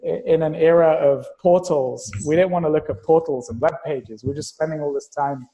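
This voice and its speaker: A man's voice: a little high, normal speed, dark tone, big-room sound, rough recording. A man speaking as a guest in a casual podcast conversation, explaining ideas in an analytical, abstract way. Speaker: interview guest, tech investor and entrepreneur.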